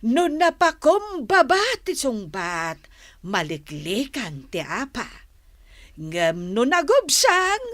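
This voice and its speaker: Slow, advising older storekeeper. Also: female voice, on the high side, huge pitch swings, a bit bright, exaggerated voice. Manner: measured, admonishing, advising. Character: Nana Mila, calm older neighbourhood storekeeper giving peacemaking advice. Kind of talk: Philippine regional radio drama acting.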